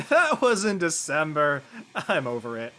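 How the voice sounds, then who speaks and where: A man playing a lovelorn, defensive high school senior boy who jokes in casual slang in a drama table read. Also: wildly swooping pitch, a little slow, sharp tone, slight background noise, exaggerated voice. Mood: joking, casual. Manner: casual slangy teen talk.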